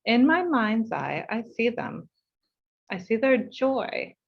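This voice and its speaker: A woman's voice: fairly high pitch, big pitch swings, gravelly, breathy edge, highs cut off. Heartfelt New woman reading a speech. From United States. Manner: measured and heartfelt, slowing for emphasis. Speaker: board chair. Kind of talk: reading a prepared speech at an online meeting.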